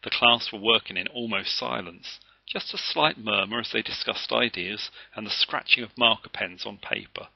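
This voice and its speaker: A man reading his children's story aloud as an author, with expressive narration. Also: on the low side, normal speed, gravelly, hardly any pauses, no room sound, lo-fi sound.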